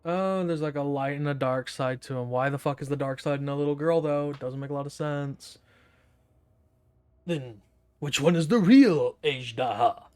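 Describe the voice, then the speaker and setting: A man's voice: fairly high pitch, normal speed, a little mellow, smooth, no echo, faint music behind. A man giving casual, slangy gameplay commentary in a laid-back way. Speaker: gaming streamer.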